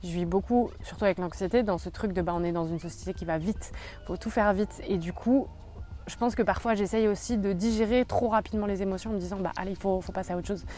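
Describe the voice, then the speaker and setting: A woman's voice: medium pitch, fast, dark tone. A young woman chatting casually in a book vlog, rambling with many fillers. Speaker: book YouTuber.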